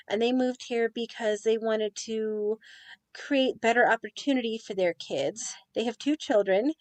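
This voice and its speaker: A young woman presenting a school assignment report in a steady, earnest way. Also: on the high side, dry recording. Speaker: student presenter. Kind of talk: reading a class report.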